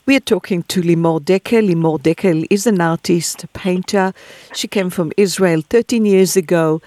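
A woman's voice: fairly low pitch, a little mellow, no echo. Radio host woman, dry studio sound. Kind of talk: radio interview.

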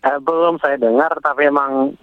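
A man, a foreign guest speaking in a halting, careful way as a language learner, interviewed on radio. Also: mid-range voice, fairly even intonation, no echo. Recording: tinny phone line.

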